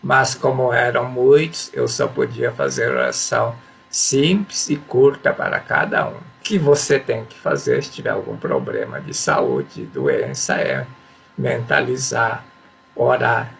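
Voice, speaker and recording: A man's voice: medium pitch, very animated, unhurried, gravelly, a bit bright, a little hiss or hum. A man giving a religious teaching talk, reading passages aloud, explaining them and repeating affirmations with emphasis. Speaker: spiritual teacher.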